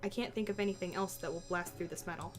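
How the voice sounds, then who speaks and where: A woman's voice: lowish voice, steady tone, quick pace, faint music behind. A woman reading visual novel text aloud as dramatic first-person narration.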